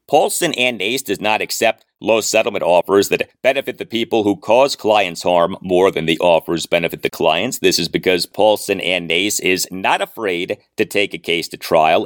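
A man with a fairly low voice speaks as a sports podcast host in a dry, close recording. He enunciates deliberately and leans emphatically on key phrases, in a polished presenter's delivery. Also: fairly bright tone, no room sound. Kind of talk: scripted ad read and interview questions.

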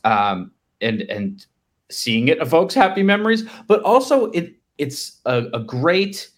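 An American man in his thirties chatting casually as a sports podcast co-host, in a halting, conversational way. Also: a little high, very animated.

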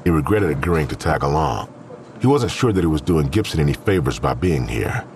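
A man with an extremely low voice reads scripted crime narration. His pitch moves in an animated way, over faint background noise. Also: a little fast.

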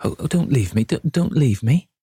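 Storybook figure: a tearful, imploring young woman, pitched well up, read by a man. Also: expressive, moderate pace, dark tone, breathy edge, dry recording, studio quality. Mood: pleading. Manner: tearful, imploring, breathless pleading. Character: desperate young actress pleading with her lover.

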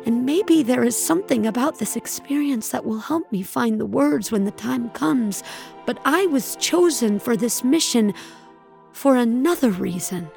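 An actress playing a haunted, solemn poet aboard a starship, narrating her story in a measured, lyrical, deliberate way. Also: on the high side, lively intonation, vocal fry, a little mellow. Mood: solemn, stirred. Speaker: narrator and lead character of an audio drama. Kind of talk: dramatic first-person scripted narration. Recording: dry studio with music behind.

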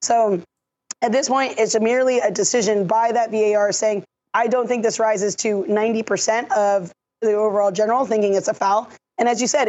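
A woman who has lost her voice, explaining refereeing decisions in a measured, technical way on a podcast. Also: medium pitch, a little mellow, muffled recording. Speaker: refereeing analyst. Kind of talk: podcast discussion.

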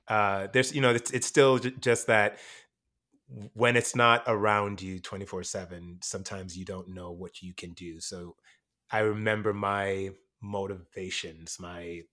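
Low-voiced reflective man, Jamaican-born. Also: fairly bright tone. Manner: reflective, many fillers and restarts. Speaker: actor and teacher. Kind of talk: casual interview conversation.

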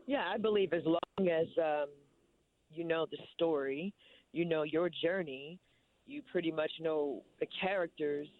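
A woman with a deep voice, a stage actress chatting casually in an interview, often saying "you know.". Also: a little slow, no echo, phone-quality sound. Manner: laid-back, with frequent "you know".

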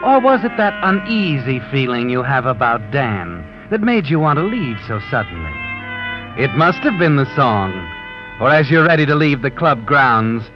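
An American actor playing a knowing, sardonic narrator in 1940s radio mystery, telling the story in an ominous, insinuating way. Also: male voice, medium pitch, big pitch swings, moderate pace, close to an everyday voice. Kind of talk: dramatic second-person scripted narration, 1940s radio. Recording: dull old radio recording, music behind.

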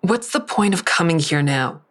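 Guarded, bitter adult daughter, low register, terse, dry studio sound, audio fiction. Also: female voice, expressive, normal speed, no room sound. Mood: guarded, reflective. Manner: terse, confrontational. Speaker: lead actor narrating and playing a daughter. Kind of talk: audio drama acting with first-person narration.

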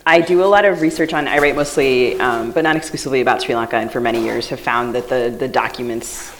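A woman's voice: very deep voice, fast, a little hiss or hum, rough recording. A woman co-hosting a live podcast panel, speaking casually with many fillers and restarts. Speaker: podcast co-host. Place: live event stage.

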